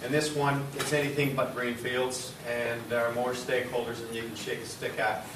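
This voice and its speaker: A man giving a conversational symposium presentation in a loud voice, with frequent fillers. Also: medium pitch, little pitch movement, moderate pace. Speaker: university executive speaker. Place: lecture room or studio space. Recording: roomy hall from far off, noisy.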